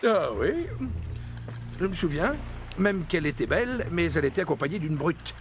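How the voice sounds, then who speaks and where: A man's voice: a little high, big pitch swings, normal speed. A man voicing a cautious cartoon henchman who is wary of trouble. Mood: recalling. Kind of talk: French cartoon voice acting. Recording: music behind the voice.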